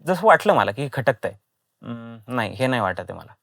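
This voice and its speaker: A man's voice: medium pitch, big pitch swings, fairly quick, gravelly, fairly bright tone, no room sound, studio quality. A man explaining his craft conversationally in an interview, emphatic, repeating phrases for stress and mixing in English words. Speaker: guest, voice and dubbing actor.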